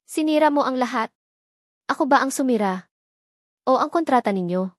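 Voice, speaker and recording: Proud, scornful young woman, mocking and sharp-tongued, read by a woman storyteller. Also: sits high, animated, moderate pace, no echo, clean studio sound, pitched above the performer's own voice. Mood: sneering. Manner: sneering, mocking.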